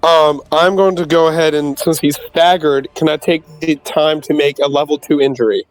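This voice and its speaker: A man's voice: on the high side, steady tone, moderate pace, faint music behind, rough recording. A young man playing in a tabletop game, laid-back and chatty, checking the rules with the game master. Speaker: player. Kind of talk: casual chat.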